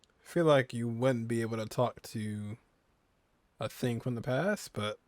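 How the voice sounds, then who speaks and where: A man's voice: medium pitch, measured pace, no echo. A man streaming a video game, making casual, wry, offhand comments while he plays. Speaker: gameplay streamer. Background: game music.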